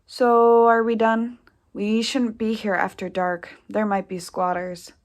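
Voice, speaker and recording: A woman's voice: medium pitch, flat delivery, slow, lightly acted. A woman acting a practical, matter-of-fact sister of few words in an audio play. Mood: practical, cautious. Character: practical, matter-of-fact sister helping search a derelict family home. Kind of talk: naturalistic audio play dialogue.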